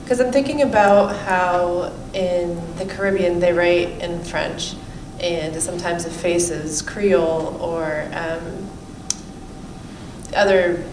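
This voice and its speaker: A woman's voice: fairly low pitch, fairly even intonation, slow. A young woman, a student in the audience, asks an informal question tentatively, thinking it through as she speaks. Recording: echoey, distant mic with clear room noise.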